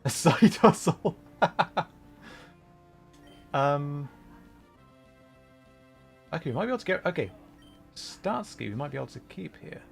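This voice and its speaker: A man chatting casually on a livestream while playing a game, rambling and halting as he thinks aloud. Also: on the high side, big pitch swings, creaky, faint music behind. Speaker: livestreamer, VTuber and content creator.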